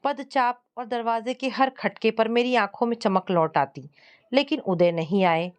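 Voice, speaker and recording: A woman's voice: fairly high pitch, measured pace, smooth. A woman reading a scripted first-person family story as its narrator.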